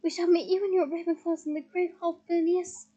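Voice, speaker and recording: A woman's voice: very high voice, little pitch movement, a little fast, mellow, lo-fi sound. A woman voicing a stern, commanding elderly witch in a storybook reading. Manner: commanding, clipped.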